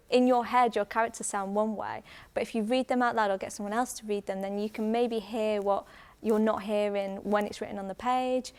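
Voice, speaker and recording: A woman talks at an average pitch. She sounds informal and conversational as she offers practical professional tips in an interview. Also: a little mellow. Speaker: radio drama producer giving writing advice. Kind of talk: casual interview advice.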